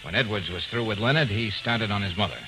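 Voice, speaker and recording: An actor playing a tough, wisecracking private detective in 1940s American radio drama, with brisk clipped delivery. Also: male voice, on the low side, close to an everyday voice. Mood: matter-of-fact. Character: wry, tough, quick-witted male private detective. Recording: noisy vintage radio drama recording.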